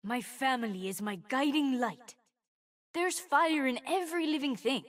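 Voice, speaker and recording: A woman's voice: sits high, moderate pace, far from the mic, natural voice. A woman voicing a cheerful, brave twelve-year-old boy fire mage in a video game, light, youthful and earnest. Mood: earnest, wistful. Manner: exuberant, earnest. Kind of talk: video game character voice lines.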